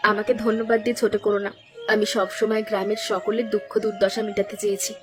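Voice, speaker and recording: A woman's voice: mid-range voice, little pitch movement, fast talker, music in the background, lightly acted. A woman voicing a kind, devoted young village granddaughter in a cartoon, heartfelt. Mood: gentle, earnest. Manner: emotional, heartfelt. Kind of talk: cartoon dialogue acting.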